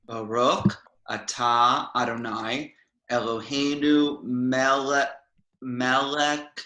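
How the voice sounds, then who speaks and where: A man playing a nervous young teen boy who recites haltingly, at an amateur table read. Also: mid-range voice, flat delivery, pushed and bright. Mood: hesitant. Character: nervous young teen boy studying for his bar mitzvah. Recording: echoey room.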